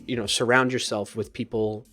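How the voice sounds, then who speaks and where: A man's voice: medium pitch, level tone, moderate pace, fairly bright tone, faint music behind. A man streaming live code who thinks aloud in a casual way. He talks to viewers between tasks and often trails off and restarts his sentences. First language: English. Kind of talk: live coding stream chat, reading viewer comments aloud.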